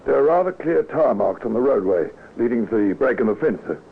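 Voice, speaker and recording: An actor playing a deferential village police constable in an old-time radio drama, on a scratchy, tinny recording. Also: male voice, mid-range voice, expressive, fairly quick, creaky. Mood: careful, deferential.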